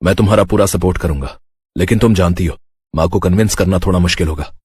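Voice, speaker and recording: A man acting a young husband in a storybook cartoon. The voice is low and very husky, earnest and dramatic in delivery. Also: quick pace, a little mellow. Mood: supportive. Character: young husband Nandan, supportive businessman, distraught in ruin. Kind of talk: animated moral-story dialogue.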